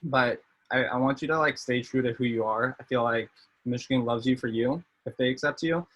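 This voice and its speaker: A man's voice: mid-range voice, brisk, a bit bright, muffled recording. A young man in his twenties from Maryland, a medical student on a panel, talking loosely with many fillers and restarts over a video call. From Maryland, USA. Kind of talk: casual panel talk.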